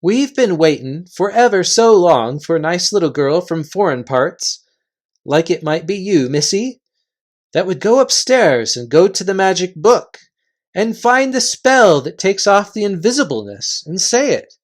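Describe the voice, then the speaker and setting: A blustering chief of invisible creatures, acted out by a man reading a storybook. He rambles in homely rustic phrases with cheerful menace, his pitch swinging widely. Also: very animated, a little slow. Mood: matter-of-fact menace.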